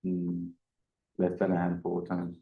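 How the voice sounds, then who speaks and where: A man's voice: low voice, level tone, a little slow, creaky, narrow, tinny audio. A man testifying as a defendant, giving terse, hesitant, non-committal answers in an echoey courtroom. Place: indoor courtroom.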